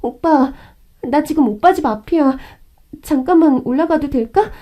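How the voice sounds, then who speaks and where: A woman's voice: sits high, moderate pace, dark tone, lightly acted. A woman voicing a young, manipulative sister-in-law who whines and pleads. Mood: pleading. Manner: whiny, pleading.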